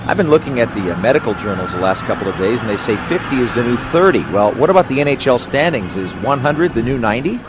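A man's voice: mid-range voice, sing-song, fast talker, few pauses, noisy background. Upbeat male radio sports host. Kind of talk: radio sports show hosting. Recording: music bed under voice.

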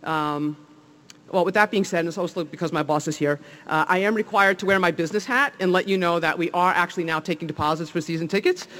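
A man from New Jersey with a clear New Jersey accent, speaking at a public welcome event as a league executive. His voice sits a bit high for a man, and he talks with eager enthusiasm, full of ums, uhs and false starts. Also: quick pace, pushed and bright, slight background noise. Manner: enthusiastic, many fillers and restarts. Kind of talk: public speech at a press event.

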